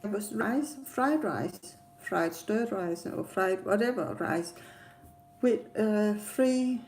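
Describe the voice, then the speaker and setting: A woman with a Danish accent, a native Danish speaker, telling a personal travel story casually in a hesitant, rambling way with frequent pauses. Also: medium pitch, expressive, a little mellow, faint music behind, rough recording. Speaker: travel vlogger.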